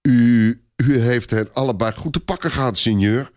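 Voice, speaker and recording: A man's voice: medium pitch, normal speed, darkish tone, lightly acted. Man voicing a careful, earnest medieval squire. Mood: stammering. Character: cautious, honest squire, awed by his fierce lord.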